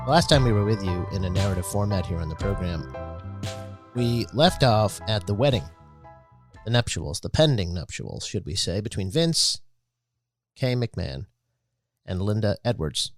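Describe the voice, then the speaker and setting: A man hosting a podcast, giving scripted public-radio-style narration in a measured, earnest way. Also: on the low side, very animated, faint music behind. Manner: measured, earnest storytelling delivery. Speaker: podcast host.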